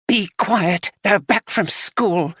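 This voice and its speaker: Reader doing a furious tipsy wife, high strained pitch, contemptuous, slightly slurred, dry close sound. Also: female voice, slow pace, a bit bright, husky, dry recording. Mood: bitter, furious. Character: angry tipsy middle-aged wife. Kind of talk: audiobook character reading.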